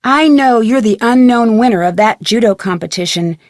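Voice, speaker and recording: A woman plays a curious young female detective in a video game. Her voice sits on the high side and is recorded dry. She speaks in a friendly, inquisitive way, as if guiding the player. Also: moderate pace, dry recording, lightly acted. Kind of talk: scripted game dialogue and tutorial narration.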